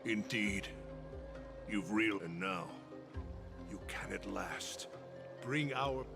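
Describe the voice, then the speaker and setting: An actor playing a devoted, intense subject of a warrior king in a dramatic game, with a gravelly voice. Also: on the low side, slow. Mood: grave, reserved. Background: loud music.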